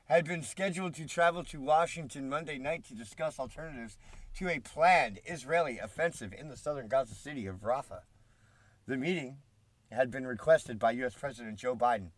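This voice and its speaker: A congested man talks on a live stream in a slightly high voice, reading news reports aloud and cutting in with sarcastic, profane asides. The sound is close and dry, with no room around it. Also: a bit bright, dry recording. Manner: swears freely, mocking asides. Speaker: political commentary streamer.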